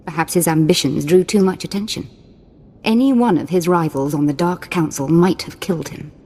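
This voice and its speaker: A woman's voice: lowish voice, fairly quick, darkish tone, in a large hall, lightly acted. A woman voicing a mocking young Sith lady. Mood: calm. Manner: mocking, theatrical, sing-song. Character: playful cruel young female Sith lord.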